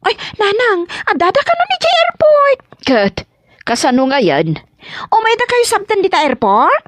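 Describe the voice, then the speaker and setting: An actress with a Filipino accent playing an excitable, nosy, fussy mother in a comedy audio drama, exclaiming in an animated, drawn-out way. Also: very high-pitched, no room sound, full character voice. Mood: excited. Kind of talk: Ilocano comedy radio drama acting.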